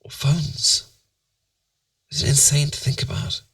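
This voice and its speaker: A British man playing a tender, playful boyfriend in a bedtime ASMR roleplay, musing softly and intimately to soothe his partner to sleep. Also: on the high side, level tone, unhurried, husky, dry recording, distant mic. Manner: soft, intimate, soothing, musing, occasionally near whisper.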